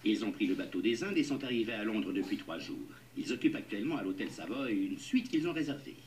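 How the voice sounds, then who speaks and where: An actor playing a formal, courteous and particular gentleman agent in a French-dubbed cartoon drama. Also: lowish voice, lively intonation, fast talker, natural voice. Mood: reassuring. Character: fussy, courteous gentleman agent for a wealthy father. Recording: distant, noisy old TV dub.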